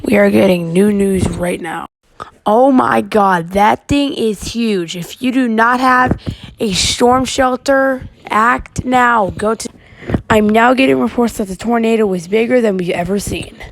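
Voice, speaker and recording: A woman's voice: fairly high pitch, measured pace, no room sound. A woman acting as an alarmed TV news reporter covering a tornado in an amateur breaking news drama. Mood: urgent.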